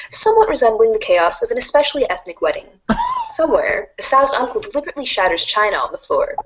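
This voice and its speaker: A woman's voice: fairly high pitch, muffled recording. A woman playing a wry, erudite teenage girl who reads out a game guide in a formal, precise, dryly instructive way, as storybook narration. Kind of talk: scripted read-along storybook narration.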